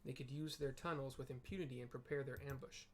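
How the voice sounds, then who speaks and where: Casting note: grave, formal noble knight commander, read plainly by a man, mid-pitched. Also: steady tone, fast, a little hiss or hum, natural voice. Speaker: reading a game character's lines aloud.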